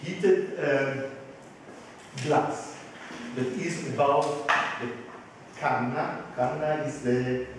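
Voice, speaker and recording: A man whose first language is Hebrew, explaining glassmaking to an interviewer in halting English, often stopping to search for words. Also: mid-range voice, heavy reverb, big-room sound, recorded from a distance, noisy background. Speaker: glass designer. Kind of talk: explaining his work to an interviewer.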